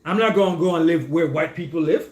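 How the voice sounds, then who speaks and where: A man leads a relaxed group discussion. His voice sits a little high for a man. He keeps checking in with his listeners, and the room around him echoes. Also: quick pace. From Caribbean. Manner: keeps checking in with "you understand?".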